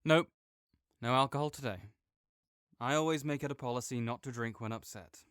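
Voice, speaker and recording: A young man playing a caring, easygoing friend who speaks gently and reassuringly to the listener in an audio roleplay, with some soft joking. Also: medium pitch, normal speed, smooth, dry recording, studio quality, close to an everyday voice.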